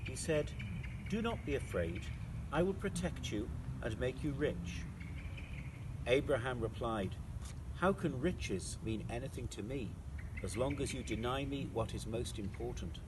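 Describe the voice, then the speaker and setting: Priest with clear background noise. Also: male voice, mid-range voice, expressive, a little slow. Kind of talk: children's Bible reading and simple reflection.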